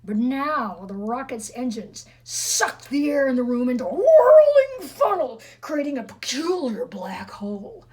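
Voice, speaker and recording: Lady reading a kids book slowly, teasing little asides, some noise in the background. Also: sits high, big pitch swings, a little mellow. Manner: teasing, chatty questions to listeners. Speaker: storybook reader for children. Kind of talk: expressive read-aloud with asides to young listeners.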